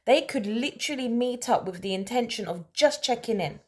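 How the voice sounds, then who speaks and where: A woman telling a story in a casual, conversational way, animated and emphatic, often checking in with rhetorical questions. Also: fairly high pitch, a little fast. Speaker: storyteller, host of a story-time series.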